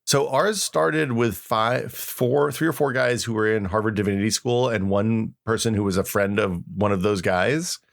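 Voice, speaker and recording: A man's voice: on the low side, moderate pace, gravelly, few pauses. A man hosting a podcast, chatting casually and telling stories in an informal, wryly exaggerated way. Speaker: podcast host. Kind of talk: casual chat with reminiscing and reading aloud.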